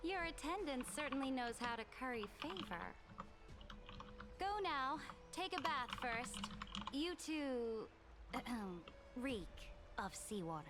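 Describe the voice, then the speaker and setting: High-voiced imperious empress. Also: female voice, lively intonation, noisy background. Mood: teasing, haughty. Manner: stately, measured, commanding. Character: imperious young female ruler, regal, amused, haughty. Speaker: game voice actor. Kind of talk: scripted game drama acting.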